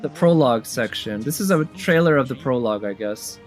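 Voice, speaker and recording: A man hosts a casual reaction commentary in a mid-pitched voice, with clear game trailer music behind him. He thinks aloud in a musing, enthusiastic way. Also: expressive, a little fast. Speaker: host of a game trailer tier list.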